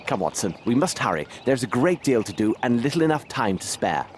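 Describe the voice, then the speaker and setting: A man voicing a shrewd, imperious gentleman detective in a video game, with a British accent and a precise, formal, theatrical delivery. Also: mid-range voice, big pitch swings, a little fast, slight background noise, natural voice. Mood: grave, assured. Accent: British English. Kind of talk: dramatic video game voice acting.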